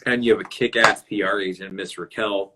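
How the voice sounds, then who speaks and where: A man's voice: fairly low pitch, fairly even intonation, a little fast, very noisy. A man hosting a casual live video-call interview who rambles and restarts his sentences. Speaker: interview host.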